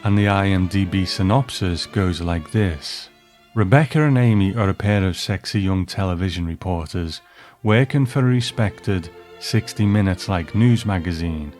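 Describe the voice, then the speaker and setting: A man's voice: sits low, moderate pace, a little mellow, soft background music. A man hosting a review, narrating from a script. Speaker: host.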